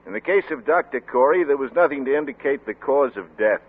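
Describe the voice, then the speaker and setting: An actor playing a sober news reporter, reading in a measured, formal, matter-of-fact vintage radio drama style. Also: male voice, medium pitch, animated, close to an everyday voice. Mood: sober, factual. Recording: tinny narrow-band old radio recording.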